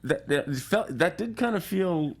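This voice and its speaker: A man talking informally as a film journalist on a talk show, chatting in a halting way and repeating phrases. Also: high voice, expressive, vocal fry. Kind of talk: casual film review chat.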